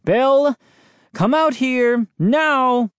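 A narrator voicing a furious middle-aged dad in a storybook, bitter and accusing, with shouted outbursts. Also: mid-range voice, very animated, moderate pace, no room sound, clean studio sound.

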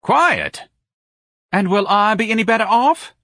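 A man's voice: normal speed, a bit bright, dry recording, studio quality. A man voicing an overdramatic, self-pitying middle-aged wife who wails and sobs in an audiobook. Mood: hysterical. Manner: shrill, sobbing, melodramatic wailing. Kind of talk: audiobook character voice.

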